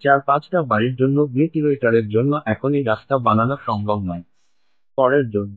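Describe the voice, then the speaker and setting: A man plays an officious town official in a cartoon. His voice is mid-pitched and matter-of-fact, with a dismissive air. The recording is narrow, tinny and dry. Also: a little fast, no room sound, lightly acted. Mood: brusque. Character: brusque, dismissive municipal officer. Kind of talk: Bengali cartoon story acting.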